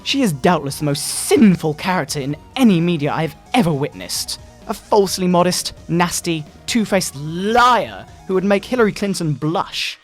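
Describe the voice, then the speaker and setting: Casting: male performer as indignant Bible-thumping father, theatrical and scolding, highly varied intonation, game music underneath. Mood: outraged condemnation. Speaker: video narrator. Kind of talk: dramatic satirical reading, sermon-like. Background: video game music.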